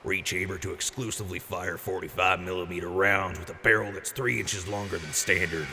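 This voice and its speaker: A man's voice: fairly even intonation, fairly quick, bright, forceful tone, heavily acted. A man playing a boisterous, generous wasteland quartermaster in an audio drama, with a hyped showman's delivery. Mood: proud, easygoing. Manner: hyped showman with booming catchphrase outbursts. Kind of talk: post-apocalyptic audio drama acting. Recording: noisy, reverberant mix.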